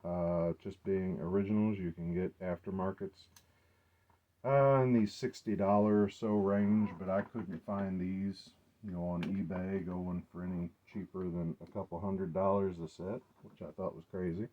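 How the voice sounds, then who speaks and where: A man with a low voice rambles through an informal show-and-tell as a hobbyist collector. He speaks in a halting, unscripted way, working out his thoughts as he goes. The recording is dull and muffled. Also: fairly even intonation. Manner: halting, unscripted, thinking aloud. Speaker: collector presenting his gear.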